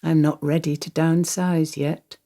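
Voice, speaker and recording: A woman's voice: low voice, level tone, a little slow, warm tone. An older woman acting a radio play monologue as a wistful, wry grandmother confiding her thoughts. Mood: reflective. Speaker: actor reading a monologue. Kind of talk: radio play monologue, first-person storytelling.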